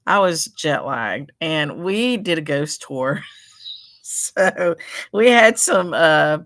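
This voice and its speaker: A woman's voice: sits low, big pitch swings, takes it slowly, a bit bright, dry recording. A middle-aged woman who runs a needlework shop, chatting casually on a livestream, rambling and greeting viewers by name. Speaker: stitchery shop owner hosting a livestream. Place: her shop in a small town.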